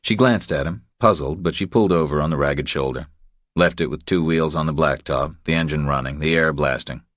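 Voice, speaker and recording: Very deep-voiced male thriller narrator. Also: a little fast, a bit bright, dry recording, studio quality. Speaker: audiobook narrator. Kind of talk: scripted narration of a thriller novel.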